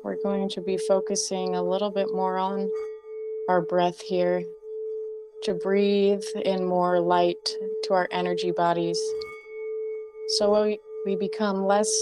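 A woman guides a meditation in a fairly low voice with very little rise and fall. She speaks very slowly, in short phrases with pauses, giving gentle instructions. Tibetan singing bowls sound behind her. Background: Tibetan singing bowl music.

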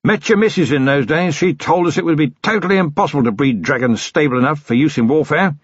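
A man's voice: fairly high pitch, fast talker, breathy edge, no room sound, pitched above the performer's own voice. A man voicing a bluff, hearty elderly retired military colonel who rambles cheerfully. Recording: dry, slightly dull recording.

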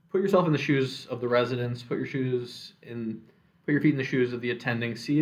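A young man in his twenties, a medical student, talking earnestly and reflectively in a casual discussion, giving advice from his own experience. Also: medium pitch.

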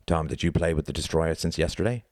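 A man voicing a stern, grimly controlled adult man who talks in a firm, measured, authoritative way in a story. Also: very deep voice, a little mellow, dry recording, clean studio sound. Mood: controlled, insistent.

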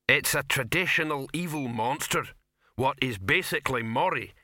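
A man voicing a big, friendly troll who talks slowly and heavily. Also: medium pitch, sharp tone, dry recording, studio quality.

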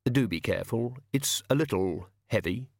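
A man's voice: medium pitch, expressive, moderate pace, no room sound, clean studio sound. A man voicing a careful little criminal in an audiobook, with a neat small voice and a precise, polite manner. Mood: primly assured.

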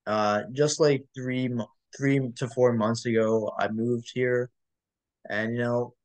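A man's voice: medium pitch, fairly even intonation, slow pace, darkish tone. Young college guy, rambling and filler-heavy. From Michigan. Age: young adult. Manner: rambling, full of "like" and "um", laughs easily. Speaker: co-host. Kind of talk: casual chat.

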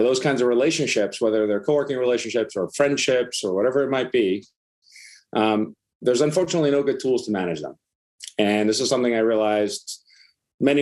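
A man's voice: lowish voice, darkish tone. A middle-aged man from Iceland talking casually as a startup founder and interview guest.

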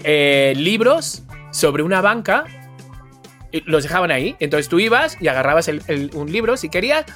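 High-pitched man chatting on a podcast. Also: very animated, moderate pace, fairly bright tone, faint music behind. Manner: colloquial, acts out quoted dialogue. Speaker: podcast co-host. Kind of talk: casual banter and anecdotes.

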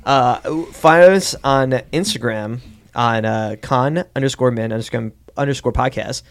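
A man's voice: mid-range voice, unhurried. A man hosting a casual comedic podcast, rambling and repeating himself as he goes. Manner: rambling, repeats phrases, prone to laughing. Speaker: podcast host.

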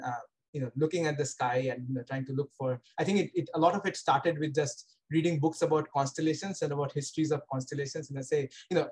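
A young man with an Indian accent talks casually as an interview guest, in a husky, slightly breathy voice that sits a bit high for a man. He rambles, circling back with fillers and restarts as he thinks aloud. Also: low-quality recording. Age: young adult. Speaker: guest astronomer.

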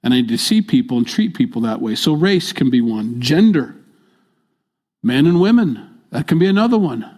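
An older man preaching a midweek sermon in a church, stressing his points by repeating them and moving between loud and soft delivery. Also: medium pitch, big pitch swings, normal speed. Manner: emphatic, repeats key phrases, swings between loud and soft. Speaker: pastor.

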